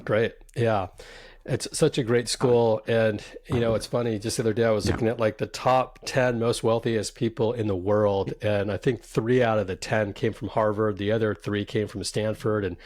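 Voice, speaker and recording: A man hosting a startup podcast, asking his guest questions in a measured, friendly way. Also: on the low side, fairly even intonation, a little mellow. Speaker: podcast host. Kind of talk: podcast interview.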